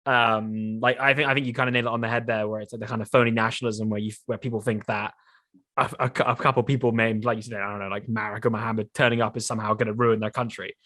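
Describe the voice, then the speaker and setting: A man's voice: on the low side, little pitch movement, fast talker, bright, forceful tone, no echo, clean studio sound. A young man hosting a podcast, chatty and encouraging, asking questions with plenty of fillers. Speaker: podcast host. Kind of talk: casual podcast conversation.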